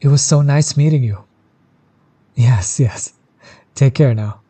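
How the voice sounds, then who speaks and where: A man playing a friendly, laid-back tattoo artist who talks to the listener in a relaxed, supportive, reassuring way, as in an audio roleplay. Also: mid-range voice, slow, breathy edge. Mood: friendly, polite. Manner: relaxed, supportive, explains gently.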